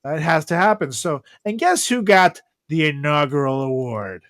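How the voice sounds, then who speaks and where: A man's voice: a little high, expressive, moderate pace, a bit bright, no room sound, clean studio sound. A man hosting a comedy podcast with casual, profane banter and theatrical announcer flourishes. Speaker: podcast host.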